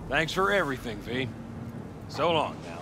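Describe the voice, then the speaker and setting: An actor playing a seasoned nomad cowboy in a video game, plain-spoken, folksy and philosophical. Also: male voice, mid-range voice, big pitch swings, moderate pace. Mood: gently reflective. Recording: music and noise behind.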